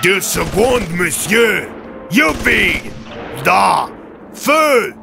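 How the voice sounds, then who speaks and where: A man voicing a gruff, commanding army colonel in an audio drama, shouting clipped orders. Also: high-pitched, big pitch swings, drawn-out words, voice pushed up, exaggerated voice. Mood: urgent, commanding. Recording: music under the voice.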